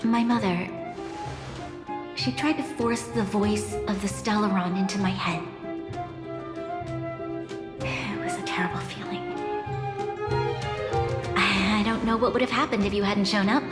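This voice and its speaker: A woman playing a resolute young city ruler in a video game, speaking formally with an earnest, measured delivery. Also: medium pitch, steady tone, loud music behind the voice, natural voice. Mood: subdued, troubled. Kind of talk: formal, dramatic video game cutscene acting.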